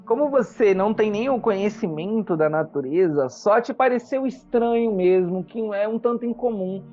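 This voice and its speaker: A game master improvising narration for a tabletop role-playing game, explaining things in halting phrases. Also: medium pitch, dark tone, dull audio, faint music behind.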